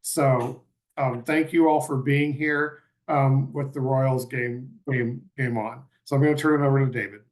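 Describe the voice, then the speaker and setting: A man's voice: mid-range voice, steady tone, a little slow, low-quality recording. A man in his fifties casually introducing a guest as the host of an online webinar. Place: indoor room. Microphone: webcam video call.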